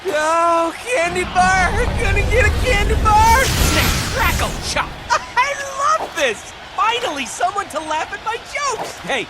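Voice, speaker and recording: A man playing a manic, wisecracking show-host mercenary in a video game, hyperactive and clownish with sudden shouts. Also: extremely high pitch, very animated, measured pace, hardly any pauses, loud music behind the voice, over a noisy crowd, heavily acted. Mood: manic, gleeful. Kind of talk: exaggerated video game cartoon acting.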